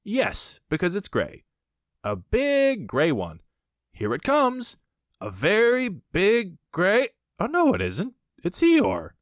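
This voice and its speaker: A man voicing a gentle, earnest teddy bear who talks in a hesitant, fumbling way. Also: sits high, wildly swooping pitch, takes it slowly, no echo, studio quality, voice pushed up, exaggerated voice. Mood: eager.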